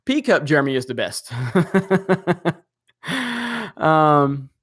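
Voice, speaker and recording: A man's voice: fairly high pitch, big pitch swings, very slow, a bit bright, husky. A man hosting a live stream, reading comments in a rambling, casual way with lots of fillers and chuckles.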